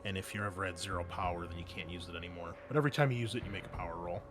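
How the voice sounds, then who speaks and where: A man running a tabletop roleplaying game as game master, explaining rules and scenes with thinking pauses. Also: sits low, noisy background. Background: music.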